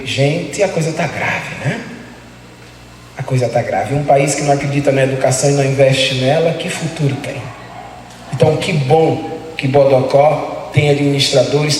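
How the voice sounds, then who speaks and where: Man lecturing in a booming echoey hall. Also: a little hiss or hum. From Salvador, Bahia, Brazil. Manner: rhetorical, asks the audience questions, tags phrases with "né". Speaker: guest lecturer speaking to teachers. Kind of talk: motivational talk to an audience. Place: large hall with an audience. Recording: very echoey hall, voice heard from a distance.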